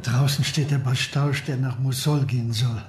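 A man's voice: medium pitch, steady tone, normal speed, soft background music, close to an everyday voice. A man playing a devious, grudging Ottoman official in a radio play, hesitant and evasive, with little grunts. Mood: wheedling, uneasy. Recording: echoey room with background noise.

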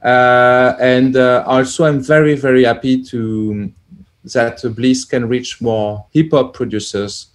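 A man's voice: medium pitch, flat delivery. Man, hesitant video-call guest. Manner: hesitant, many "uh" pauses, searching for words. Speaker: plug-in developer guest. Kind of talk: casual interview answers. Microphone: headset on video call.

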